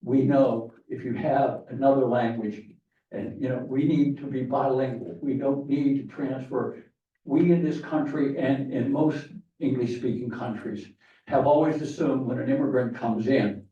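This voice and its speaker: A man giving a rambling conference talk to an audience, with many fillers and restarts. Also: mid-range voice. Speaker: conference speaker. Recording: distant echoey hall, dull sound.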